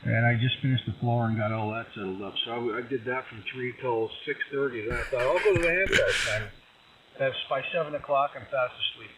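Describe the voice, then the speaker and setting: A man's voice: medium pitch, brisk. A man chatting casually as a ham radio operator, heard over a radio transmission. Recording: distant, thin, noisy shortwave radio link.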